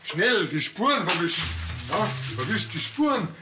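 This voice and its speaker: A man's voice: high voice, very animated, moderate pace, over music. An actor playing a cocksure, talkative village policeman in a comedy crime series, with a Bavarian accent and a colloquial way of explaining. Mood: play-acting, brisk.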